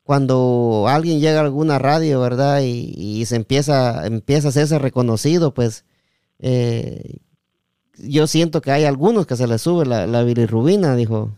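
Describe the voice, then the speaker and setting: A man hosting a casual interview, halting and often seeking agreement with tag questions. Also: mid-range voice, mellow, smooth, no echo, clean studio sound. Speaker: podcast host.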